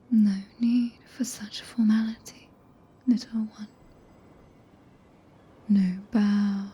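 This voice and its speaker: A woman with a British accent playing a regal, possessive witch in whispered ASMR roleplay, speaking archaically and imperiously with long pauses, close to the microphone. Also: medium pitch, dark tone, full character voice. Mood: tender, commanding. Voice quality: breathy, whispered. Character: regal, possessive witch, cool yet tenderly commanding. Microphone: close binaural ASMR microphone.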